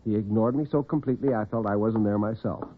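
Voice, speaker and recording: An American man telling dramatic tales as host and storyteller in classic radio style, theatrical and expressive. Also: fairly low pitch, fast talker, background noise. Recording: narrow-band vintage radio recording, muffled.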